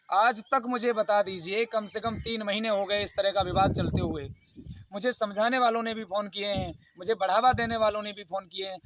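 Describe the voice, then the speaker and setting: A man's voice: high-pitched, a little hiss or hum, low-quality recording. A man giving an unscripted video statement, speaking in an aggrieved, insistent, pleading and repetitive way. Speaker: YouTube channel host.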